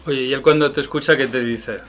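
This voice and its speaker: A man's voice: mid-range voice, steady tone, fast, fairly bright tone, slight background noise. Conversational male interviewer from radio.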